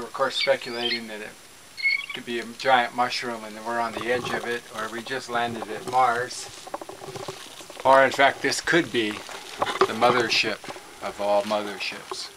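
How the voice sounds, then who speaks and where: A man's voice: mid-range voice, fairly even intonation, moderate pace. A middle-aged man on a desert trail, talking casually and admiringly about the scenery and radio contacts, with fillers. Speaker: ham radio hiker. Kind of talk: casual outdoor narration. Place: outdoors on a desert canyon trail. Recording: noisy outdoor camera audio.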